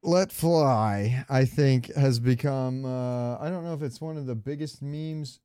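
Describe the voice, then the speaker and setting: Male podcast co-host, mid-pitched, informal and slangy, casual gaming banter. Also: a little slow, dark tone, clear, resonant tone.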